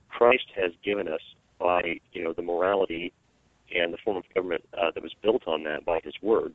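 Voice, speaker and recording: Hoarse low-voiced man on a phone line. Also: dry recording. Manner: earnest, emphatic, with frequent restarts. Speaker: radio show guest, evangelist. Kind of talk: call-in radio interview. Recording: narrow phone line, dry.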